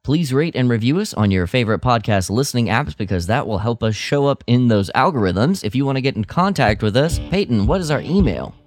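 A man's voice: medium pitch, lively intonation, fairly quick, hardly any pauses, no room sound, faint music behind. A man co-hosting a podcast in casual chat, speaking emphatically and with enthusiasm. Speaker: podcast co-host.

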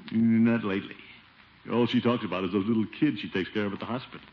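An actor playing a pompous, excitable middle-aged American bachelor in a theatrical, exclamatory old radio sitcom style. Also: male voice, lowish voice, quick pace, lightly acted. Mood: exasperated. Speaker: lead comic actor. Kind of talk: 1940s-50s radio sitcom acting. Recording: dull, hissy old radio recording.

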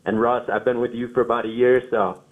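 A man's voice: mid-range voice, little pitch movement, fairly quick, a crowd in the background. An eighteen-year-old man from Minnesota phones in, sounding eager and a bit starstruck. His voice comes through a thin, tinny phone line. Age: teens. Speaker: caller.

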